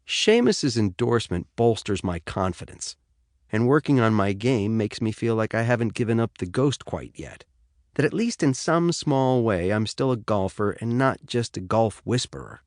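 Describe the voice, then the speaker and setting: A man's voice: fairly low pitch, big pitch swings, moderate pace, dry recording. An American man reading first-person fiction as an audiobook narrator, in a studio. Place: dry studio.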